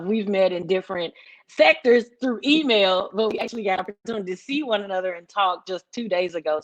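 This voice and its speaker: A woman's voice: on the low side, low-quality recording. A middle-aged woman, explaining things emphatically as a music executive on a workshop panel. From Memphis, Tennessee, United States. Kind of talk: informal workshop presentation.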